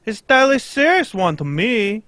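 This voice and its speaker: Man playing an anxious foreign king, high and animated. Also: unhurried, no room sound, exaggerated voice. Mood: grave, desperate. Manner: stilted broken English, dropped articles, earnest. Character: anxious, pompous foreign king with a secret scandal. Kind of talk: old-time radio mystery acting, read live.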